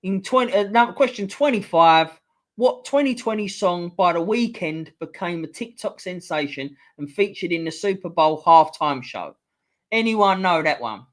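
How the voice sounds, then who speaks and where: A middle-aged man hosts a casual live stream quiz, bantering with viewers and swearing freely. His voice is going a bit hoarse. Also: high voice, expressive, moderate pace, fairly bright tone. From England. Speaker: livestream host.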